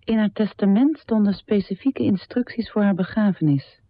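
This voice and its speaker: An actress playing a composed adult daughter, narrating in a radio drama in a measured, matter-of-fact way. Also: female voice, mid-range voice, dry recording, lightly acted. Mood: composed, reflective. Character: composed adult daughter remembering her dead mother. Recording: dry, phone-like narrow band.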